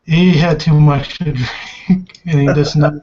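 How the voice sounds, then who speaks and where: Higher-voiced man, flat delivery, lo-fi. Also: measured pace, a little hiss or hum. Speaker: tabletop roleplaying player. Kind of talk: casual chat. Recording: dull lo-fi voice recording.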